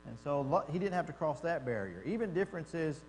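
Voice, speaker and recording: A man's voice: a little high, big pitch swings, brisk. Texan man, Bible class teacher, folksy and chatty; echoey hall, faint mains hum. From Texas. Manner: folksy and conversational, asks the class questions. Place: church classroom.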